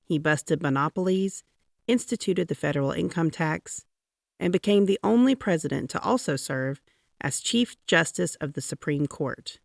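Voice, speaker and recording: A woman narrating a children's picture book aloud in a dramatic, deliberate, expressive way. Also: low voice, creaky, dry recording, studio quality. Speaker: storybook reader.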